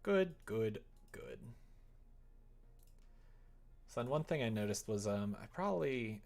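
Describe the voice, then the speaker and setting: A man with a fairly low voice and plenty of vocal fry streams while he works. He talks casually and loosely, muttering to himself as he goes. Dry little joking asides are mixed into the rambling. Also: very animated, slow, a little mellow. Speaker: live streamer developing a visual novel. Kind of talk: casual livestream chat while working.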